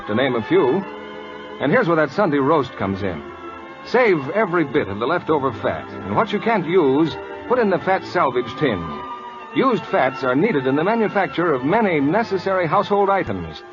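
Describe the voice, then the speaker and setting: An American male announcer reads scripted 1940s radio copy. His voice sits fairly high and swings in lively fashion. The vintage recording is dull, with music clearly behind it.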